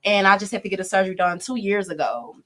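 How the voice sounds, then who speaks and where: A woman's voice: mid-range voice, quick pace, a bit bright. A young woman from Chicago, a guest singer chatting at length on a radio show and laughing easily. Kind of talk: casual radio interview chat. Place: radio studio.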